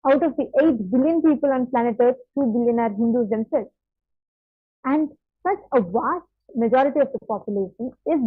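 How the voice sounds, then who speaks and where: A woman's voice: fairly high pitch, dry recording. A woman hosting a program and introducing guests in an emphatic, announcing manner. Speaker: host. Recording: tinny phone-like line.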